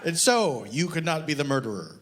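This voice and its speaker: A man's voice: fairly high pitch, very animated, a little fast. Guy as a pompous hero pilot detective, solemn, in a live comedy reading. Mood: solemn, condescending. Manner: grave, pompous. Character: heroic retired male pilot detective, solemn and self-important.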